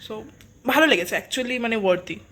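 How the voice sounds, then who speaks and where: High-voiced woman reviewing. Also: animated, normal speed, sharp tone, slight background noise. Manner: explanatory, addressing viewers directly. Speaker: vlog host and product reviewer. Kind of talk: casual product review chat mixing in English words.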